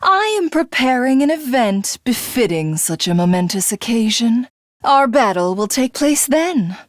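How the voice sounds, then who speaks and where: A woman's voice: on the high side, sing-song, unhurried, dry recording, clean studio sound. A woman voicing a haughty, pompous alien warlord who craves godhood, in theatrical video game villain style with formal, condescending diction. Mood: grandiose.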